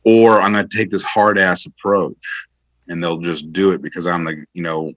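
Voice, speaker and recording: A man's voice: sits low, little pitch movement, normal speed, fairly bright tone, no room sound. A man speaking as an interview guest and military veteran, explaining his views conversationally in an emphatic, repetitive way.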